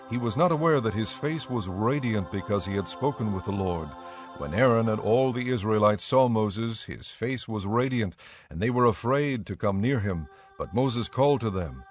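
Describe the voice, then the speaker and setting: Music runs under a man narrating an audio Bible. His voice is a little low, and he reads the script in a measured, formal way.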